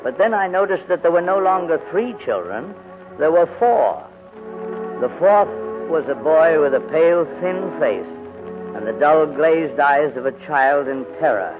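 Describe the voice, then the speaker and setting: An actor playing a crusty but kindly older gentleman in a formal, hesitant manner, in 1940s radio drama style. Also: on the high side, lively intonation, unhurried. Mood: somber narrating. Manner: fussy, grumbling, formal, hesitant. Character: crusty, reluctant but kindly old English gentleman guardian. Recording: muffled vintage 1940s radio recording, music.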